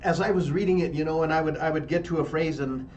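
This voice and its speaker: A man's voice: a little high, fast, darkish tone. A middle-aged man hosting a radio interview, enthusiastic and affirming, talking in a loose, rambling way. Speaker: radio show host. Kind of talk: interview conversation. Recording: dull distant mic with faint noise.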